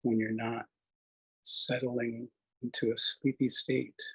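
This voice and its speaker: A man leading a guided meditation in a gentle, unhurried manner, leaving long pauses between short phrases. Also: medium pitch, flat delivery, creaky, slightly rough, low-quality recording. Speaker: meditation instructor.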